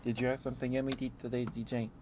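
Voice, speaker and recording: A man's voice: medium pitch, flat delivery, rapid-fire, background noise. A man streaming ASMR, chatting casually and playfully to his live viewers in a rambling way.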